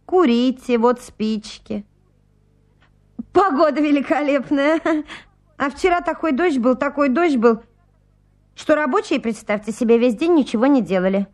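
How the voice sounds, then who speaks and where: High-voiced chatty country daughter. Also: female voice, expressive, measured pace, pauses often, a little mellow. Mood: chatty, welcoming. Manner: chattering, hospitable, bustling. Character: chatty, brisk young country landowner's daughter. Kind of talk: stage comedy acting in a radio play.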